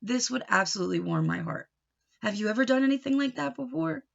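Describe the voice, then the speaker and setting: A woman reading a picture book aloud to children, expressive, with questioning and explanatory asides. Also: fairly high pitch, fast talker, vocal fry, muffled recording. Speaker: storybook reader teaching children.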